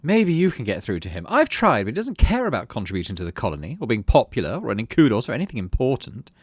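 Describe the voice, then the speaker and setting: A man's voice: mid-range voice, sing-song, quick pace, breathy edge, no echo, clean studio sound. A man voicing a snooty, bossy teenage girl colonist in a video game, with an affected, sneering delivery. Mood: self-assured.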